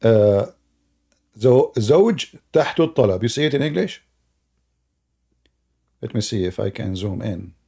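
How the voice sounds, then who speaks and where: Mellow-voiced man telling a mocking story. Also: medium pitch, lively intonation, measured pace, vocal fry, long pauses, slightly rough. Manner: mocking, repeats phrases for emphasis. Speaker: online commentator and host. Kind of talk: informal mocking storytelling commentary to viewers.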